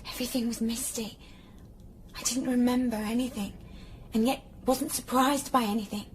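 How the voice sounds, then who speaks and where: An actress playing an anguished artificial woman who doubts who she is, fragile and halting, in an audio play. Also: a little high, steady tone, a little hiss or hum, lightly acted. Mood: subdued, lost. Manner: halting, fragile, emotionally raw.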